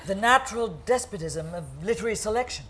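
A man's voice: high voice, lively intonation, quick pace, bright, forceful tone, pitched above the performer's own voice. A man voicing an earnest young woman intellectual who speaks solemnly and precisely.

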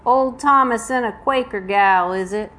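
A woman's voice: fairly high pitch, measured pace, a bit bright, voice pushed up. Woman putting on a taunting, menacing Southern man. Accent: American South. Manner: taunting drawl. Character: tall menacing mocking male slave hunter on horseback. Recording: noisy live event room recording.

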